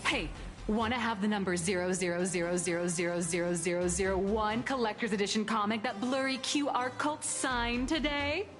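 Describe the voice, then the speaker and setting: A woman's voice: medium pitch, normal speed, lightly acted. A woman playing a bubbly, self-important female comic artist in a video game, with a dramatic, griping manner. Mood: chatty, pitching. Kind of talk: video game character dialogue. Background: game music.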